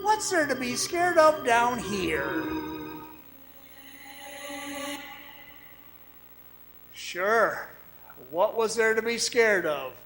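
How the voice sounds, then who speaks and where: An actor playing a cocky young mine guide in a radio drama, folksy and coaxing, moving between narration and dialogue. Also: male voice, very high voice, sing-song, slow pace, pushed and bright, soft background music, heavily acted, poor audio. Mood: reflective. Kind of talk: old-time radio drama acting, part narration, part dialogue.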